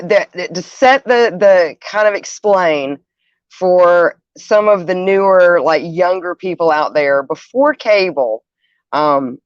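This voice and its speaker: Low-pitched woman, dull dry recording. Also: no echo. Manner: conversational, musing, addresses listeners directly. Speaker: livestream host. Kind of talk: casual livestream chat, reading out viewer comments.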